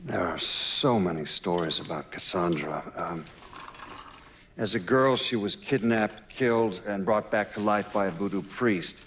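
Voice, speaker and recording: An actor playing an elderly retired male FBI official in a game, giving measured, halting documentary interview testimony. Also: low-pitched, a bit bright, a little hiss or hum. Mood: grave, uncertain. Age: 60s or older. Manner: hesitant, halting, matter-of-fact.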